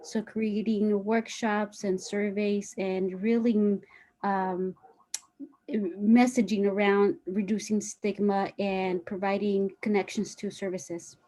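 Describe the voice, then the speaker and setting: A woman's voice: medium pitch, flat delivery, slow pace, warm tone, low-quality recording. A woman from a nonprofit introducing herself and her work on a video call, in a matter-of-fact way. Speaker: nonprofit staff. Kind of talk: self-introduction.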